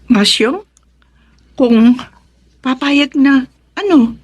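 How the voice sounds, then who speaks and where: A woman's voice: sits high, expressive, extremely slow, a little mellow, lo-fi sound, full character voice. An actress playing a devout, principled and devoted single mother in a Filipino radio drama, speaking earnestly. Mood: troubled, firm.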